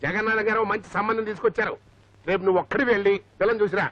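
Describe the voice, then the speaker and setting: A man's voice: high-pitched, fairly even intonation, rapid-fire, fairly bright tone, no room sound. A man playing a bossy, scolding, penny-pinching father in a film comedy. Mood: grumbling, bossy. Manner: scolding, blustering. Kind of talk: Telugu film comedy acting. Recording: dull lo-fi film soundtrack.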